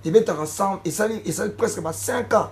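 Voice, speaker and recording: A man's voice: high-pitched, a little fast. A young man from the Congo with a Congolese French accent, a musician answering interview questions in a casual, hesitant way, slipping between French and Lingala. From Democratic Republic of the Congo. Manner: hesitant, with frequent fillers and repeated words. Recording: light background noise.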